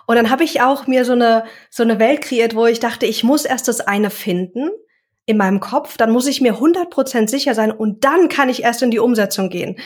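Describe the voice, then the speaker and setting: A woman's voice: fairly high pitch, normal speed, breathy edge. A young woman, a self-employed coach, talking reflectively in a casual interview and now and then searching for words.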